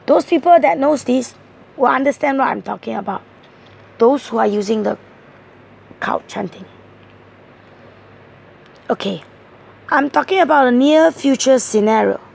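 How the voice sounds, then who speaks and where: A hoarse woman talks through a tarot spread, explaining each card earnestly and point by point. Her voice sits high, with a heavy gravelly fry running through it, and a husky, breathy edge. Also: lively intonation, a little slow, pauses often, a little mellow. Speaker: tarot reader. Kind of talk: tarot card reading monologue.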